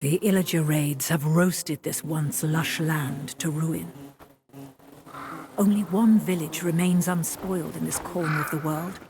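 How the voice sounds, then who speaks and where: A woman playing a grave storyteller who narrates a fantasy video game with theatrical, ominous and deliberate phrasing. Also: low voice, fairly even intonation, background noise. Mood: urgent, foreboding. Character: grave, dramatic female storyteller of a fantasy adventure. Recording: loud music behind. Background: dramatic game music.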